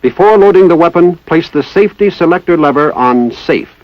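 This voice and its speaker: A man's voice: a little high, expressive, fairly quick, no room sound. A man with an American accent reading formal, methodical instructional narration in the style of a 1960s military training film. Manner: formal, precise, methodical enunciation. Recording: dull lo-fi 1960s film soundtrack.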